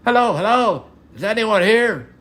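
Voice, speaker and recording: A man's voice: high voice, animated, moderate pace, fairly bright tone, pitched above the performer's own voice. A man playing a timid, frightened merchant father in a fairy tale, anxious and theatrical. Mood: calling out.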